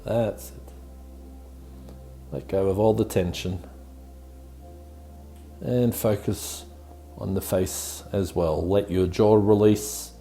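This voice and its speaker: Male meditation guide: very slow, soft-toned and dark, soothing and encouraging, over a music bed. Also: on the low side, steady tone. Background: background music.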